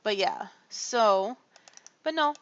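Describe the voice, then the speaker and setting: A woman chatting casually to her livestream viewers while she draws colouring pages. She rambles along with a gravelly voice, lots of fillers and little laughs. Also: medium pitch, lively intonation, takes it slowly, fairly bright tone, highs cut off. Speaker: streamer drawing colouring pages.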